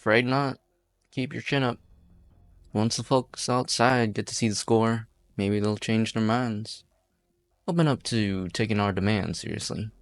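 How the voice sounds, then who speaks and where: A man playing a weary, apologetic outlaw in an amateur game fan dub, speaking in a measured, resigned way. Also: fairly low pitch, steady tone, dry recording. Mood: resigned, wry. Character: weary, apologetic outlaw hostage-taker, a former lawyer.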